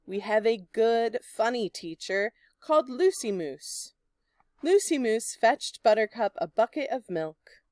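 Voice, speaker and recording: A woman reading a children's picture book aloud in an expressive, deliberate storytelling manner. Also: a little high, no room sound. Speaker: storybook reader.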